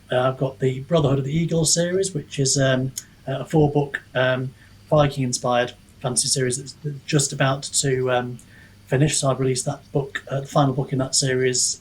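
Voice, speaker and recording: A man's voice: medium pitch, darkish tone, rough recording. Man chatting over background noise. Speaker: guest author, fantasy writer and book reviewer. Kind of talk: casual podcast interview.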